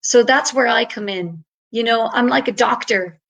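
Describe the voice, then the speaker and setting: A woman's voice: fairly high pitch, moderate pace, fairly bright tone, low-quality recording. A woman from Ireland, an English tutor talking on a video call in a chatty, upbeat way with many fillers. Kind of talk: online panel talk.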